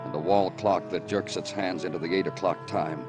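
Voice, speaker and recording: Guy doing a weary noir cop from old radio, real low voice, broody clipped poetic lines, music behind him. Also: steady tone, moderate pace, close to an everyday voice. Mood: brooding. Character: world-weary male police detective narrating his own case. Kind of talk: 1950s radio noir narration.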